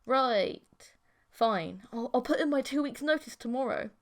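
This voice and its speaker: A woman's voice: on the high side, animated, unhurried. A woman playing an awkward, easily flustered geeky young man in an audiobook, hesitant and submissive to his girlfriend. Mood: giving in. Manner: hesitant, stammering.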